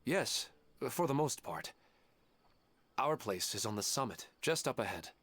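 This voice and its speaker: An actor playing a grieving widowed father in a game, weary, quiet and polite, with game music behind. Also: medium pitch, sing-song, unhurried, a little hiss or hum. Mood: sad. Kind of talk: game character dialogue.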